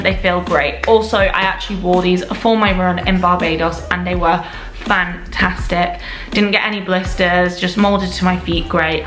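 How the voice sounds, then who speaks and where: A young woman in her twenties chatting to camera at home, reviewing products with gushing enthusiasm. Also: medium pitch. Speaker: lifestyle vlogger. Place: indoor room at home. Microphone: camera at desk distance. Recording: echoey room with faint music.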